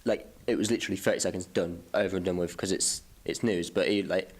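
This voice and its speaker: A man's voice: low-pitched, fairly even intonation, fast talker. A man on a podcast, talking casually as he discusses a film. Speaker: podcast host.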